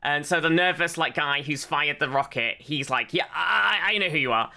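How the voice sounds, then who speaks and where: A man's voice: a little high, moderate pace, creaky, bright, forceful tone. A young man co-hosting a podcast, rambling with restarts and fillers as he retells favourite moments. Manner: rambling, full of restarts and fillers, giggles while retelling jokes. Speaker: podcast co-host. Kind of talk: casual chat.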